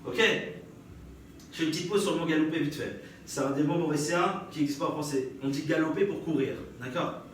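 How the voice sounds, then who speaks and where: A young French-accented man tells stand-up stories, trading banter with the crowd and breaking into laughter. His voice sits a touch high and reaches the mic from a distance. A very echoey live room with clear audience noise surrounds him. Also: brisk. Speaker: stand-up comedian. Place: live comedy stage before an audience.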